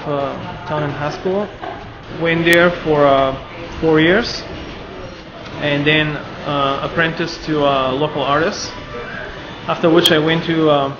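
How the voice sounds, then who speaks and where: A man in his thirties from Bulgaria, with a Bulgarian accent, interviewed for a documentary in an art gallery. He speaks haltingly and pauses often to find his words. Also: on the high side. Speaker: artist. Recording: roomy, muffled, with steady room noise.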